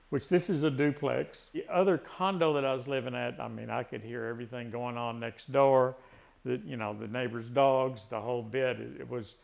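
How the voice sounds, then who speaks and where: A man's voice: mid-range voice, vocal fry, highs cut off. A man in his sixties with a Tennessee Southern accent, chatting casually and rambling with many restarts. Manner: rambling, frequent restarts and fillers. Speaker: retiree vlogger. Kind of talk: casual chat.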